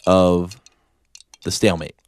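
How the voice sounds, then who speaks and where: A man's voice: sits low, little pitch movement, takes it slowly, no echo. A man hosting a podcast in a casual, conversational style. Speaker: podcast host. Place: dry podcast studio with a booth.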